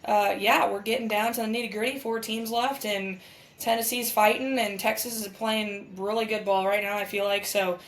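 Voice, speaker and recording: A woman in her thirties with a raspy voice, co-hosting and giving casual live sports commentary in an enthusiastic, candid way. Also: mid-range voice, steady tone, normal speed. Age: 30s. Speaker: podcast co-host and amateur sports commentator. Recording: roomy echo, distant from mic.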